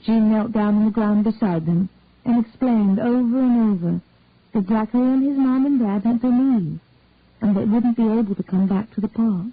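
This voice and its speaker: A woman reading a story aloud in a calm, measured way, with a tinny phone-like sound. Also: a little high, no room sound. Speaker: narrator.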